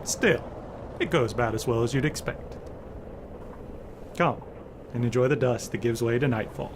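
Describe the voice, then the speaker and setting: A man's voice: mid-range voice, moderate pace. Man narrating over noticeable noise. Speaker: host introducing a classic radio drama. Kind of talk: scripted narration, horror anthology introduction.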